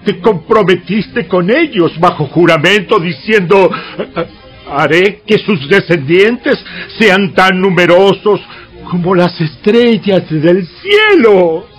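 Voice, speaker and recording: An actor playing Moses as an anguished, pleading, indignant prophet who stammers, in a dramatized audio Bible. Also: male voice, high-pitched, highs cut off, exaggerated voice. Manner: halting, stammering.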